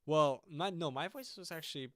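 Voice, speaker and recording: A man's voice: a little high, normal speed, a bit bright, no room sound. A young man, a band's singer, chatting casually on a livestream and often stopping to restart his sentences. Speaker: singer and band frontman.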